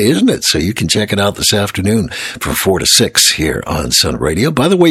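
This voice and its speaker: Male morning DJ, hoarse and gravelly, low and rough, dry close studio mic, rambling with joking asides. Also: a bit bright, dry recording. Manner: rambling and chatty, teasing asides. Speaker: radio DJ. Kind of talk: casual morning radio announcing. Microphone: studio microphone.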